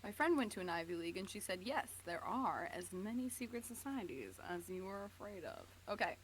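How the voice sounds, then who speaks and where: A woman's voice: medium pitch, expressive, a little fast. A woman streamer in casual chat, playful and emphatic, often repeating her phrases. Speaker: streamer co-reading fan fiction.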